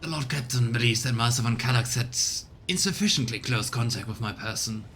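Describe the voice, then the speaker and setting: Male player as proud rogue trader captain, formal game dialogue, middle register, faint rumbling noise. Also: brisk, a bit bright, lightly acted. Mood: proud, composed. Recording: low rumbling background noise.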